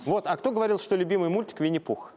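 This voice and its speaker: High-voiced man hosting a talk show. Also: fast talker, warm tone. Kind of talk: moderating a studio discussion. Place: TV studio with seated audience. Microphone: handheld microphone.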